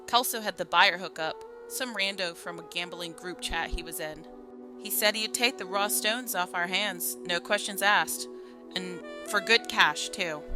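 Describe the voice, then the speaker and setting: A woman's voice: on the low side, natural voice. A woman playing a haunted young caller, telling her horror ordeal suspensefully in a radio drama. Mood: rueful. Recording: music under the voice.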